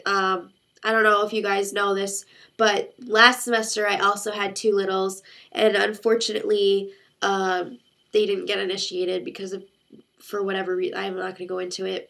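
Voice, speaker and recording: A woman's voice: mid-range voice, creaky, a bit bright. A young woman with a congested sick voice, chatting in a rambling, talkative way to her viewers. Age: young adult. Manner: chatty, rambling storytelling with many fillers. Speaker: vlogger. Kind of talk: casual chat to camera.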